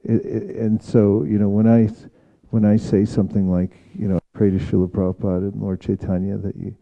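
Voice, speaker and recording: A man speaking as a spiritual teacher in an informal devotional discussion, reflective and halting in his phrasing. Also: lowish voice, level tone, gravelly, narrow, tinny audio. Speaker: spiritual teacher leading a scripture class.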